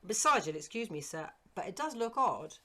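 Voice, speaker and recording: A woman's voice: on the low side, sing-song, moderate pace, pushed and bright, heavily acted. A woman reading a storybook and voicing a deferential, concerned Victorian working man, respectful and urgent. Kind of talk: storybook character acting.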